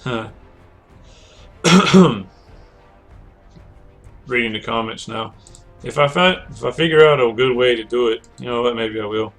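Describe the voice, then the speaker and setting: A man's voice: mid-range voice, unhurried, pauses often, faint music behind. A man streaming a video game, chatting casually and rambling with many self-corrections, his voice cracking from a cold. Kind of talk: casual gameplay commentary.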